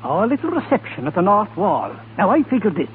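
An actor playing a loyal, practical rebel in a 1930s radio drama. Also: male voice, sits high, wildly swooping pitch, fairly quick. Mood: earnest. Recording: tinny, noisy 1930s radio recording.

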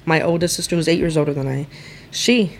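A woman's voice: low-pitched, fast talker, slight background noise. A woman from East Los Angeles whose first language was Spanish, a lawyer guest telling her story in an earnest conversational way. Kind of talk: conversational interview.

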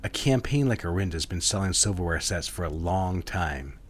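An actor playing a smooth, commanding married advertising executive of the 1960s in a romantic audio drama, speaking in an intimate, instructive way. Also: male voice, sits low, lively intonation, moderate pace, slight background noise. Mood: businesslike, instructive.

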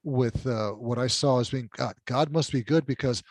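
A man's voice: medium pitch, moderate pace, slightly rough, no room sound. A middle-aged man whose first language is Spanish, telling his life story as a podcast guest in a reflective, self-deprecating way. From California border town, Mexican side. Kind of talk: conversational storytelling interview.

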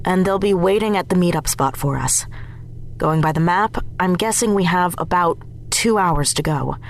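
A woman's voice: fairly low pitch, moderate pace, a little hiss or hum, natural voice. A woman acting in an audio drama as a capable, matter-of-fact team member on a covert mission, with dry humour. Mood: wry, practical. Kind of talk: naturalistic fiction podcast acting.

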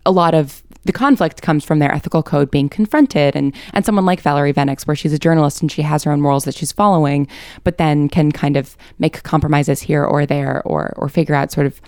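Female interview guest, deep and clear voice, dry close sound, articulate and analytical. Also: brisk, a little mellow, no room sound. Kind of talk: thoughtful interview conversation.

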